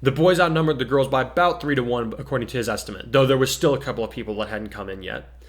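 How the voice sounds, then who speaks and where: A man's voice: mid-range voice, fast talker. A man reading scripted fiction aloud as a narrator, in a dramatic story-reading style.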